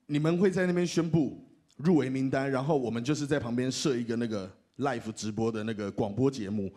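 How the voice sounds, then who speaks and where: A man's voice: medium pitch, brisk. A man with a Taiwanese Mandarin accent co-presenting a ceremony as a host, in a playful, joking way. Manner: animated, joking. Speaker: radio host and actor co-announcing award nominations.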